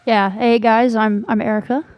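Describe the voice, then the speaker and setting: A young woman with a slightly high voice in a dry recording. She talks casually and informally as a student radio performer. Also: fairly even intonation, normal speed, no room sound, lo-fi sound. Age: young adult. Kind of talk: casual chat.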